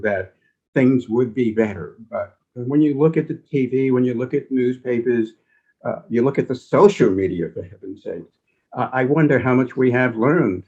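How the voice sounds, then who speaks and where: A man's voice: mid-range voice, darkish tone. Older guy on a panel, earnest and folksy, veteran newsman, bit of a dull lo-fi sound. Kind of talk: reflective panel discussion. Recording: slightly dull, lo-fi audio.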